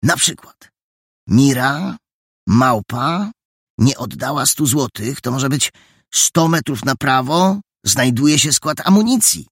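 A man voicing an excitable, imaginative boy detective who talks eagerly and insistently. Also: fairly high pitch, unhurried, husky, no room sound, clean studio sound, pitched above the performer's own voice, heavily acted.

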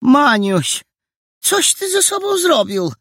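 A man reading an audiobook and voicing a fussy, worried older woman housekeeper in a raised, exclaiming voice with a husky, creaky edge. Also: huge pitch swings, unhurried, no echo, clean studio sound, full character voice. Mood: alarmed. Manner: exclaiming, anxious, high and scolding.

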